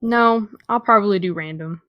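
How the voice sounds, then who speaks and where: A woman's voice: mid-range voice, normal speed, no room sound, highs cut off, lightly acted. A young American woman playing a guarded, dryly witty teenage girl in understated, naturalistic audio drama dialogue. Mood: wry.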